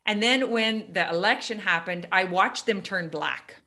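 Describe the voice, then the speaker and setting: A middle-aged woman coach talking on a podcast, emphatic and quick, restarting her sentences as she goes. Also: medium pitch, bright, forceful tone. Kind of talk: casual podcast conversation.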